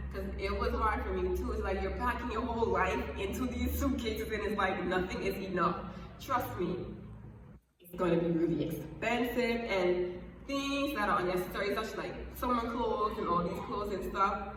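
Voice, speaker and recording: Bahamian woman talking in an echoey room. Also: lowish voice. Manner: chatty, emphatic, repeats herself for emphasis. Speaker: vlogger. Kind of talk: casual advice vlog. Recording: echoey room, far from the mic, background noise.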